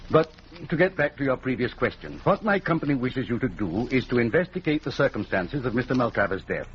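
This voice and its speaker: An actor playing a courteous, businesslike gentleman in a measured, formal 1940s radio drama style. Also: medium pitch, sing-song, close to an everyday voice. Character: businesslike, courteous Englishman in a detective story. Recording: dull, noisy old radio recording.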